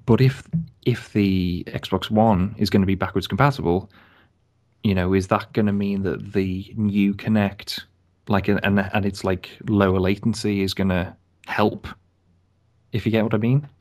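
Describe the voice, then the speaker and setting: Lowish-voiced guy on a podcast, rambles, lots of um and you know. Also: fairly even intonation, unhurried, darkish tone. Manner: rambling, hesitant, many fillers and repeats. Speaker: podcast co-host. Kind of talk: casual chat about games.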